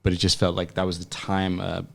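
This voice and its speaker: A man's voice: deep voice, completely flat delivery, a little fast, gravelly, a bit bright. A man in his late thirties from France, answering interview questions conversationally, with frequent hesitations and restarts. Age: late 30s. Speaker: interview guest, startup founder. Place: podcast studio.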